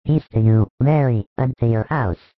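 A calm holy teacher, played by a male storyteller, speaks at a medium pitch with solemn, kindly authority. He is reassuring and measured, as in a religious storybook. The sound is very dry and slightly muffled. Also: dry recording, lightly acted. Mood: solemn greeting. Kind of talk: dramatized scripted religious storytelling. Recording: dull lo-fi recording.

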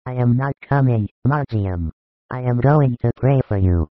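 A man playing a grave, calm, gently firm male teacher in a scripture audiobook, speaking in a measured way. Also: mid-range voice, dry recording, phone-quality sound, close to an everyday voice. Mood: serious, resolved. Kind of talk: dramatised scripture audiobook dialogue.

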